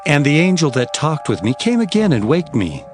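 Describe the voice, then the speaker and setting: A man playing an earnest prophet who recounts his visions in formal, expressive storytelling for dramatized scripture. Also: on the high side, moderate pace. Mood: awed, questioning. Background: faint music.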